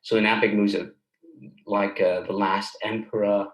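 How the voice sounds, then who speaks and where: A man's voice: on the low side, flat delivery, normal speed. A man from Germany talking casually as an interview guest, explaining things with enthusiasm as a film director and composer. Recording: roomy, echoey sound.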